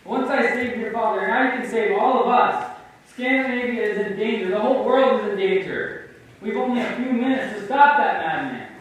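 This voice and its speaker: Bumbling spy hero, young male actor, stage-loud and fairly high, heard from the back of a reverberant theatre. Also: moderate pace. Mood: coaxing. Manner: loud stage delivery, bursts of excited shouting. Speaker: student actor. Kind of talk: live school stage play. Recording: echoey hall from far off, some noise.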